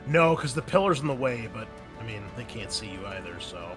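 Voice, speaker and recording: A man's voice: mid-range voice, brisk. Male game master, easygoing delivery, faint music bed. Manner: casual, relaxed, frequent fillers. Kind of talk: running a tabletop game.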